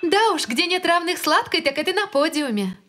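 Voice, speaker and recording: A woman's voice: extremely high pitch, very animated, normal speed, fairly bright tone, slight background noise, heavily acted. A woman voicing a perky, confident teenage angel girl in a cartoon dub. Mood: cheerful. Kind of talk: animated cartoon dub acting.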